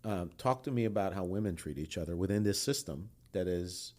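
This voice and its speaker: Male podcast co-host, lowish voice. Thoughtful, searching interviewer. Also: lively intonation, brisk, a little mellow. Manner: thoughtful, feels his way through questions with fillers.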